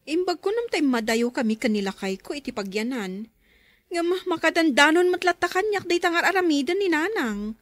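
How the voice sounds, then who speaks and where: A woman's voice: high-pitched, lively intonation, normal speed, dry recording. A woman acting a concerned grown daughter in an Ilocano radio drama, fretful and weary in a conversational way.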